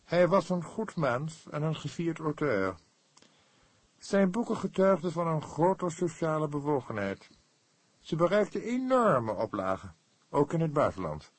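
Audiobook role, acted by a man: self-important male publisher, formal, touchy, raised pitch, swooping intonation. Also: big pitch swings, a little slow, husky, no room sound, exaggerated voice. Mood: somber. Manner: formal, emphatic, pompous. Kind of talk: Dutch audiobook character voice.

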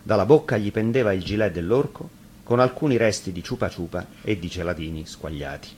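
Low-voiced man reading a fairy tale. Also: animated, fairly quick, a little mellow. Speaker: storyteller. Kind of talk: dramatic scripted fairy-tale narration. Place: radio studio. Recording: faint background noise.

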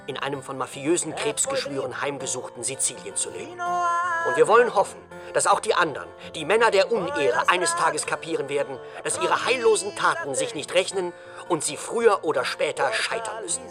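An impassioned rebel radio presenter in a crime drama. His fairly high voice swings widely as he addresses listeners, defiant yet mournful, over prominent guitar music. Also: big pitch swings, a little fast. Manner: emphatic, theatrical, addressing listeners.

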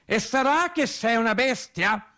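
A man's voice: extremely high pitch, lively intonation, a little slow, sharp tone, pitched above the performer's own voice, heavily acted. A man voicing a bossy, bookish working-class wife who scolds with insistent, challenging questions in a radio theatre monologue. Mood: scornful.

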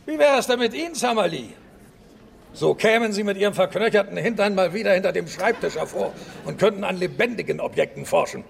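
A man's voice: high-pitched, expressive, fairly quick, vocal fry, a little hiss or hum, exaggerated voice. An actor playing a bullish, hot-tempered male scientist with a blustering, mocking manner in a radio drama. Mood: taunting.

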